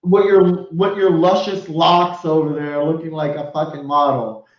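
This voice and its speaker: Male host, effusive and profane; high voice, echoey muffled audio. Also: steady tone, measured pace. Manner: effusive, swears, laughs a lot. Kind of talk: casual live show chat.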